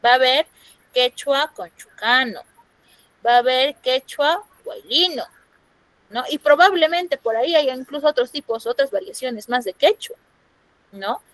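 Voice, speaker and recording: This is a Peruvian woman teaching a class over a video call. Her voice sits fairly high and sounds close and dry, with no room around it. She explains patiently and keeps asking her students if they follow. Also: lively intonation, a little slow, fairly bright tone, dry recording, poor audio. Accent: Peruvian Spanish. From Peru. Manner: explanatory, checks in with frequent "¿no?". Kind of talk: online class lecture. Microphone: computer microphone on a video call.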